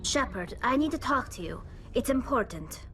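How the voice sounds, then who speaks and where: A woman's voice: a little high, lively intonation, normal speed, music in the background. A woman voicing an earnest, loyal young alien woman engineer who speaks formally and from the heart. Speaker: crew member.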